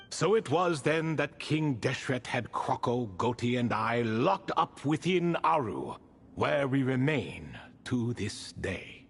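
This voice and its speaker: A man's voice: medium pitch, slightly rough, full character voice. An actor playing an ancient proud male spirit retainer bound in a relic, telling his tale with dry amused asides in a video game cutscene. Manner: wry, stately storytelling with dry amused asides.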